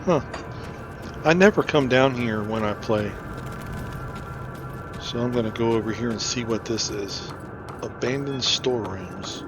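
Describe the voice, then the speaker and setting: A man's voice: mid-range voice, a little slow, pauses often. A man giving casual game commentary, thinking aloud and muttering to himself as he plays. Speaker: gamer doing a playthrough. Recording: game music behind. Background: loud game music.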